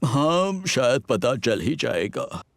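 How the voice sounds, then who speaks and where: A man's voice: medium pitch, big pitch swings, takes it slowly, creaky, noisy background, heavily acted. A man voicing a boastful, bumbling father pig with a hearty chuckle in a children's cartoon dub. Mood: sheepish.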